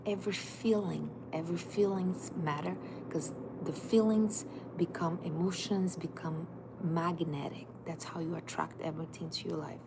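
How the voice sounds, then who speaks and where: Woman in her thirties, life coach, emphatic and direct, lowish gravelly voice. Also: steady tone, normal speed, hardly any pauses. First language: Portuguese. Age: 30s. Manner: emphatic, direct, repetitive second-person urging. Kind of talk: motivational livestream talk. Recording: noisy background.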